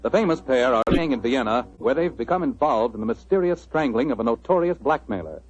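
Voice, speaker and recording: A man's voice: mid-range voice, lively intonation, a little fast. An American man announcing and selling in 1940s radio style, with a folksy, chatty salesman's delivery. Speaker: announcer and sponsor pitchman. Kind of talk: 1940s radio advertising and narration. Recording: dull, dry 1940s radio recording.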